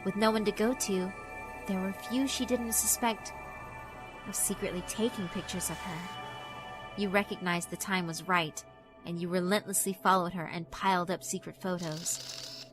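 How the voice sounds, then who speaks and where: A woman's voice: mid-range voice, steady tone, moderate pace, over music, natural voice. A woman voicing a sinister, cruelly amused female school spirit in a horror visual novel, theatrical and mock-polite. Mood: cold, mocking. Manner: theatrical, mock-polite, taunting rhetorical questions.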